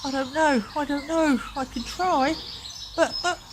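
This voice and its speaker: Woman acting upset little boy, high and stammering. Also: measured pace, noisy background. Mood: hesitant. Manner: stammering, repeating phrases.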